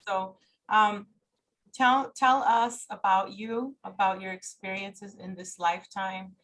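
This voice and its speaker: A woman's voice: medium pitch, fairly even intonation, slow pace, a bit bright, a little hiss or hum, rough recording. Woman host, chatty, asks rambling questions, easygoing. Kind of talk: casual interview.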